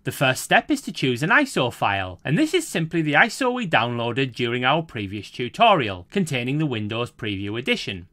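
A man's voice: mid-range voice, very animated, pushed and bright, dry recording. Formal man narrating a tutorial. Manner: measured, formal, step-by-step. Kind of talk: scripted screen-recording voice-over.

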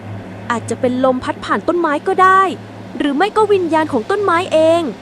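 A woman's voice: very high-pitched, lively intonation, moderate pace, a bit bright, slight background noise, voice pushed up. A woman voicing a cocky, joking young male hiker with a light, dismissive manner in a story. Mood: amused disbelief.

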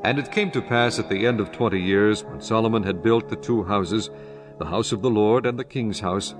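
Solemn low-voiced male scripture narrator. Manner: solemn, measured declamation. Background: music bed.

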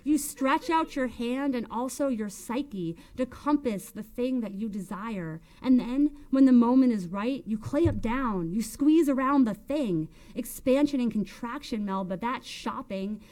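A woman's voice: medium pitch, expressive, moderate pace, darkish tone, lightly acted. A woman voicing a bitter, domineering older woman who scolds emphatically with pointed questions in an audiobook story. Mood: insistent, haranguing. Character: bitter, hectoring, domineering older woman berating Melba by phone.

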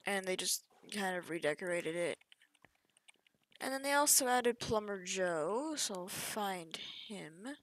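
A woman's voice: mid-range voice, animated, measured pace, fairly bright tone. A young woman casually talking through a game update over screen capture, chatty and offhand, often trailing off mid-sentence. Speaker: gaming youtuber. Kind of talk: casual gameplay walkthrough.

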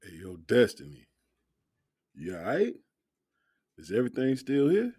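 An actor playing a laid-back, protective young man in an audio drama, talking in slang with drawn-out, incredulous repeats. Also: mid-range voice, huge pitch swings, dark tone, breathy edge, dry recording, full character voice. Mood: concerned.

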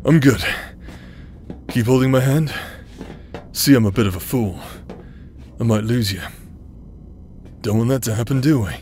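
A man's voice: mid-range voice, measured pace, pauses often, dark tone, a little hiss or hum, lightly acted. A man acting out a cocky, battle-worn adventurer, protective and teasing, in an audio roleplay. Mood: tender, flustered.

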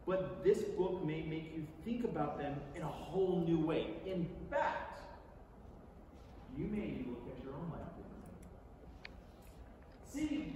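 A middle-aged American man giving a theatrical, conversational staged reading to an audience, deliberate and emphatic, with pauses. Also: a little high. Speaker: stage reader performing a book. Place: large theatre stage, indoors. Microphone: far audience camera. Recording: distant, echoey theatre recording with noise.

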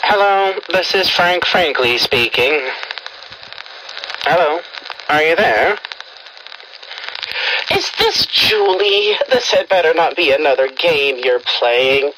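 A woman's voice: low-pitched, animated, slow, long pauses, fairly bright tone, slight background noise, exaggerated voice, rough recording. A woman voicing a prim, easily irritated male puppet who talks precisely like a bookish nature enthusiast. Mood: suspicious, indignant. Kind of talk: puppet show character acting on a phone call.

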